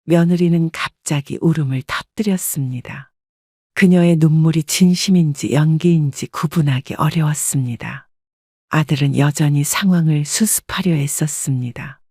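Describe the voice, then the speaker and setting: Casting: woman narrator, calm scripted storytelling, dry close sound. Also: deep voice, moderate pace, no echo.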